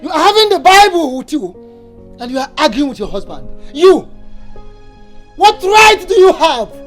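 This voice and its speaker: A man's voice: very high-pitched, very animated, a little fast, a bit bright, faint music behind, full character voice. A harsh, domineering Nigerian husband and father in a heated film drama, threatening and repeating himself. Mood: indignant. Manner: threatening, repetitive, indignant.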